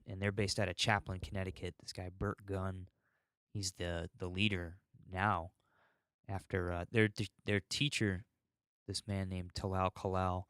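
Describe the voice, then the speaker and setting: A man's voice: sits low, fairly bright tone, dry recording, studio quality. An American man hosting a podcast alone, rambling and ranting in an unfiltered, sweary way. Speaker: podcast host. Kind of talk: rambling solo podcast monologue.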